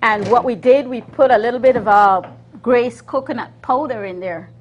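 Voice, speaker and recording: A middle-aged woman casually demonstrating a recipe, explaining it in a hesitant, halting way. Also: fairly high pitch, lo-fi sound. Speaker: guest cook demonstrating a recipe. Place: TV kitchen studio set.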